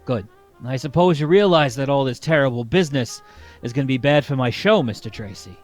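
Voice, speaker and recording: A man playing a worried, deferential circus boss in an old-time radio play, speaking in a measured, deliberate way. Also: mid-range voice, expressive, soft background music, lightly acted. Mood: resigned.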